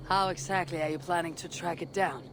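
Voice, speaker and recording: A woman's voice: on the low side, steady tone, fairly quick, background noise, lightly acted. An actress playing a blunt, impatient, skeptical female warrior in a video game, with a dry and curt delivery. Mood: skeptical, businesslike. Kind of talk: video game character dialogue.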